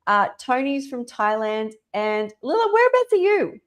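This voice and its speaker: Woman, host, from Australia, bubbly, high and lively voice, video call. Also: moderate pace. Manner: bubbly, excitable, laughs often. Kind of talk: casual live-stream chat.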